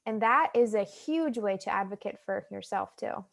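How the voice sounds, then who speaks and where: A young woman with an American accent, a guest talking about her own experiences in a relaxed, chatty way with many fillers. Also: medium pitch, moderate pace, vocal fry. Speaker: guest, stuttering advocate. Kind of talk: casual interview chat.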